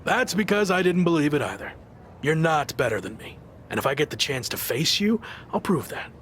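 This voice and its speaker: An actor playing an earnest, principled underdog wrestler, firm and serious, in a video game cutscene. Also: male voice, a little high, very animated, normal speed, fairly bright tone, a little hiss or hum. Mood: defiant.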